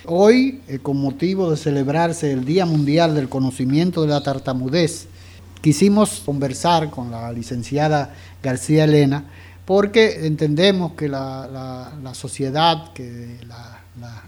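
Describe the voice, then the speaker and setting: A man hosting a radio interview in a formal, courteous and measured way. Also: fairly high pitch, a little mellow. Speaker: radio host.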